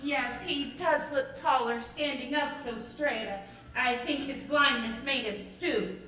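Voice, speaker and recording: A woman's voice: sits high, slow, pushed and bright, exaggerated voice. An actress playing an anxious, loving middle-aged mother, speaking in a fretful and pleading way, in an old radio drama. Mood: defensive. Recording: echoey, distant old radio recording.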